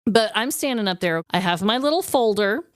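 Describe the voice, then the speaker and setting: A woman's voice: medium pitch, animated, a little fast, no room sound, clean studio sound. A woman co-hosting a podcast in casual comedic chat, telling stories in a self-deprecating way and swearing freely. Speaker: podcast co-host.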